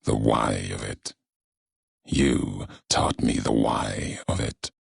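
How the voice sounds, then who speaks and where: A brooding, philosophical wasteland wanderer from a video game, vengeful and solemn. His voice is heavily gravelled and very husky, and he delivers clipped, cryptic lines at a slow, weighty pace. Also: extremely low pitch, level tone, no echo, clean studio sound, full character voice. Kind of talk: video game voice acting, slow portentous monologue.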